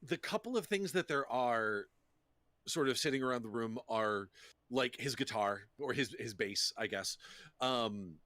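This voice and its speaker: A man playing a tabletop roleplaying game, casually describing his character as he thinks out loud. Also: medium pitch, measured pace, no room sound.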